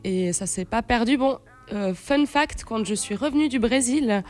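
A woman's voice: on the high side, lively intonation, moderate pace, darkish tone, faint music behind. A woman, chatting casually as an interview guest, searching for her words, close on a studio mic. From Switzerland, then Brazil as a teenager. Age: late 20s. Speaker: guest, singer-songwriter. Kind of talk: casual radio interview chat. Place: radio studio. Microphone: studio microphone on a stand, close.

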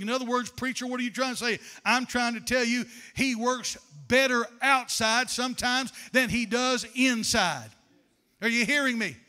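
An older man preaches from the pulpit, his voice very high and piercingly bright. He speaks fervently into a handheld microphone in a church sanctuary, warmly calling his listeners "honey" and building to shouted, rhythmic declarations. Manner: addresses congregation as honey. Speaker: pastor. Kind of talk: sermon.

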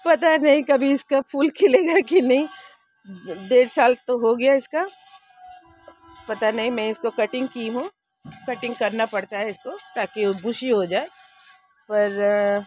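Lady in her sixties filming her rooftop garden on her phone, chatty, talks right to you, highish voice. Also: fairly even intonation, unhurried, darkish tone, faint music behind. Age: 60s. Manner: chatty, homely, speaks directly to viewers. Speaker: vlogger. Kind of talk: casual rooftop garden tour. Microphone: handheld phone camera.

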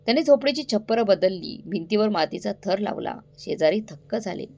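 A woman's voice: medium pitch, expressive, moderate pace, gravelly, a little mellow. A woman narrating a scripted story in a dramatic, suspenseful way, addressing listeners directly.

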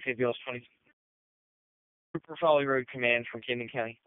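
Male emergency dispatcher: brisk, clipped and procedural, mid pitch, tinny two-way radio sound. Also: steady tone, no room sound. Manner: businesslike, repeats unit numbers back. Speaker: fire and EMS radio dispatcher.

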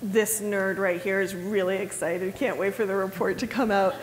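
A woman's voice: mid-range voice, fairly even intonation, normal speed. A middle-aged woman moderating a panel conversation, friendly and self-deprecating. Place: large conference ballroom. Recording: long hall reverb, faint room noise.

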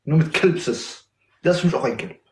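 A man's voice: a little high, animated, brisk, a little mellow, reverberant. A man in his twenties on a phone livestream, bantering in street slang and swearing "Wallah" at nearly every turn. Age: 20s. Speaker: livestream guest.

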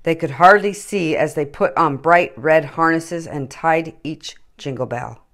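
A middle-aged woman narrating a children's story in a deliberate, expressive way. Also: low-pitched. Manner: deliberate, animated. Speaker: children's story time reader.

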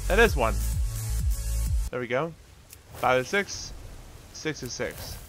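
A man's voice: mid-range voice, animated, takes it slowly, a bit bright. A man giving casual gameplay commentary, muttering to himself as he plays, with game music behind him. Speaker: gaming streamer.

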